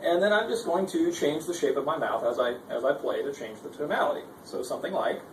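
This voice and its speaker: A man's voice: on the high side, fairly quick. A man giving a casual, informal instructional demo, speaking hesitantly and with some self-deprecation. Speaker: guitarist giving a gear demonstration. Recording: roomy, distant mic with background noise.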